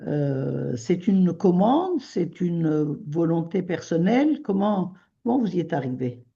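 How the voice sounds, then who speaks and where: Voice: mid-range voice, big pitch swings, creaky, dark tone, slightly rough, dull audio. A radio host asking guests questions, hesitating often. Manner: hesitant, many pauses and fillers. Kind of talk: radio interview.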